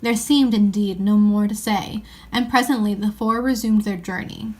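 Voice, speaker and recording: A woman reading a children's novel aloud in an expressive storybook style. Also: medium pitch, normal speed.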